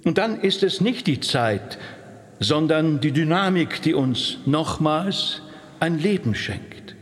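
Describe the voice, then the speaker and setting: An actor playing an earnest male preacher, giving a solemn, measured, exhorting sermon in a radio drama. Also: fairly high pitch, expressive. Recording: long echoing hall reverb.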